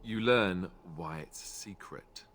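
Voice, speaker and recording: A young human warrior from a fantasy game, with a lowish, forceful voice. He speaks candidly and casually, with a wry streak of understatement. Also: slow. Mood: guarded, matter-of-fact. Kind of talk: video game voice acting.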